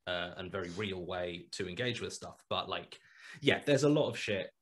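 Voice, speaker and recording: A man in his thirties talking on a video call through a headset mic, rambling with many restarts and animated asides. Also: low-pitched, moderate pace. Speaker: guest, horror podcast writer. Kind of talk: casual video call interview. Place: small home room indoors.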